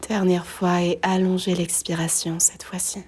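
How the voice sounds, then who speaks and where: A woman guiding a slow meditation in a soft, breathy near-whisper, gently giving unhurried instructions with long pauses. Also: fairly low pitch, little pitch movement, a little hiss or hum. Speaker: yoga nidra guide.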